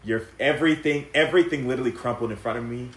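A man's voice: medium pitch, racing, a crowd in the background. A man in his thirties telling a painful personal story in casual chat, rambling and emotional with lots of fillers and restarts, swearing freely. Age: 30s. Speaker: podcast guest sharing a personal story. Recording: echoey room.

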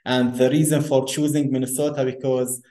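A man from Lebanon talks through ideas as an interview guest, repeating words and restarting sentences. His voice sits in a middle pitch. The recording has a roomy echo. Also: flat delivery, moderate pace, mellow. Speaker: guest engineer. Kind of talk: conversational interview. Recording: echoey room.

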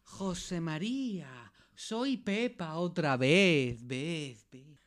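A woman's voice: low-pitched, expressive, drawn-out words, full character voice. A woman with an accent from Spain voicing a solemn, soothing being of light that speaks from a radio, with trailing echoes, in a comic radio play.

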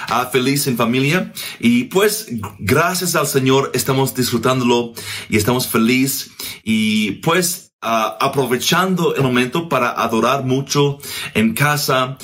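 A man from Australia in his thirties, a native English speaker, speaking slow, halting Spanish in a casual message recorded at home as a guest minister. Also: medium pitch, a bit bright. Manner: halting, searching for words.

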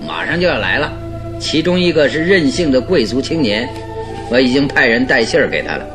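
An actor playing a shrewd, self-assured gentleman detective in a radio drama, with a theatrical manner. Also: mid-range voice, sing-song, brisk, music in the background, lightly acted. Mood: gleeful. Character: shrewd, jovial gentleman detective, calm and in control. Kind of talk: radio drama acting in Mandarin.

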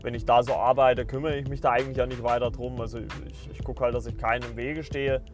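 A man's voice: medium pitch, flat delivery, quick pace, soft background music. A man in his thirties from Saxony, hosting a podcast in a casual, meandering way full of filler words and restarts. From Saxony, Germany. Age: 30s. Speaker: podcast host. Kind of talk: casual rambling monologue.

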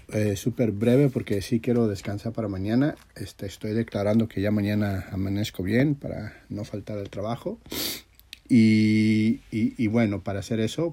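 A man, stuffed up with a sore throat, teaching and praying as a religious leader in an informal, earnest, halting way with frequent repetition. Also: lowish voice, warm tone, breathy edge. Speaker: religious teacher leading an audio class. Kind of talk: informal sermon and prayer.

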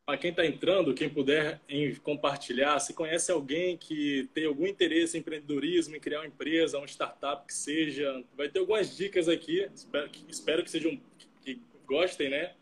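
A man in his late twenties from Salvador, Brazil, co-hosting a casual live stream and talking with a video-call mic, relaxed and full of fillers. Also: fairly high pitch, normal speed. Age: 20s. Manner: relaxed, chatty, many fillers and tag questions.

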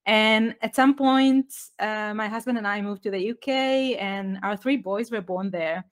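A woman's voice: medium pitch, measured pace. A middle-aged woman from Israel with an Israeli accent, a guest on a podcast interview, explaining her ideas earnestly with light laughs. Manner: earnest, explanatory, with light laughs and slightly non-native phrasing. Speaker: guest, education author.

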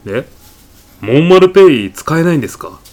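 A man's voice: on the high side, big pitch swings, brisk, darkish tone. A man playing a pushy, eccentric, absurdly self-assured supermarket customer in a comedy radio drama, arguing like an insistent salesman. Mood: puzzled, insistent. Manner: insistent, indignant salesman-like patter. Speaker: podcast actor.

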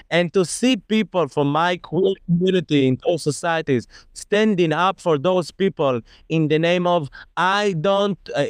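A man's voice: a little high, clear, resonant tone, dry recording. A man guest on a discussion podcast, speaking bluntly and with passion. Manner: blunt and impassioned.

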